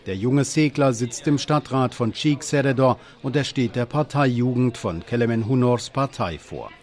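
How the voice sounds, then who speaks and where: A man reading a scripted news report as a documentary voice-over, in an even, measured way. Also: medium pitch.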